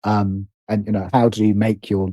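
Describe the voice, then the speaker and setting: Mellow man thinking aloud. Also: on the low side, dry recording. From Britain. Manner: animated, halting, restarts and repeats phrases as he thinks aloud. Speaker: interview guest, writer and producer. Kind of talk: casual conversational interview.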